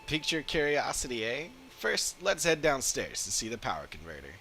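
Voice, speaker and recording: A man's voice: medium pitch, big pitch swings, brisk, vocal fry, pushed and bright, a little hiss or hum, pitched above the performer's own voice, heavily acted. A man voicing a proud, eager machinery enthusiast from a game, explaining things chattily as he reads the dialogue. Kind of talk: reading game dialogue in character. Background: game music.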